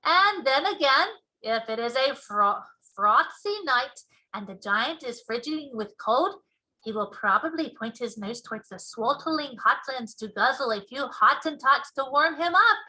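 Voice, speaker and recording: A woman voicing a big friendly male giant from a children's storybook, folksy and excitable, with muddled grammar and made-up words. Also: high-pitched, a little slow, full character voice, low-quality recording. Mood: explaining. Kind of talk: children's storybook read-aloud.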